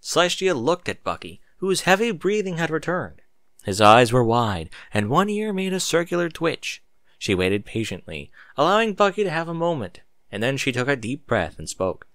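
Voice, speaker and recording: A man reading a story aloud as narrator, in an expressive style with candid asides. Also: fairly high pitch, normal speed, no echo, clean studio sound. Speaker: fan fiction narrator.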